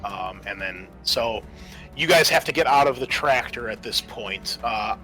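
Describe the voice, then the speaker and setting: Male game master, bright forceful voice, halting explanatory delivery, faint music behind. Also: mid-range voice. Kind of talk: narrating a tabletop roleplaying game.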